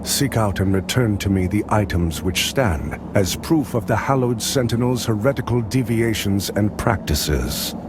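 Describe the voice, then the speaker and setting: An actor playing a zealous, secretive holy man in a dark fantasy game, formal, measured and authoritative. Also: lowish voice, animated, noisy background. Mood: solemn command.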